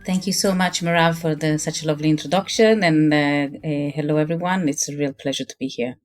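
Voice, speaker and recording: Woman, middle-aged, very low voice, hesitant, many fillers. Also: fairly even intonation, fast, a little mellow, faint music behind. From Rome, Italy. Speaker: guest, cookbook author. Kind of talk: casual interview talk.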